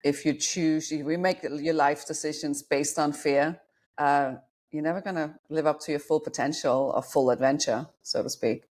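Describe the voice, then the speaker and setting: A woman's voice: low voice, steady tone, moderate pace, a little mellow. A woman in her forties from Denmark, a guest on a podcast talking about her life as a dating coach, reflective and self-deprecating. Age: 40s. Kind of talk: podcast interview.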